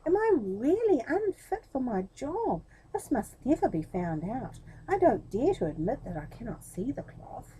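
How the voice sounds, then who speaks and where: Woman doing a flustered old minister, raised pitch. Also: big pitch swings, a little slow, few pauses, mellow, a little hiss or hum, low-quality recording. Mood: worried. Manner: worried, exclaiming, self-doubting. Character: honest old male minister, flustered and fretful.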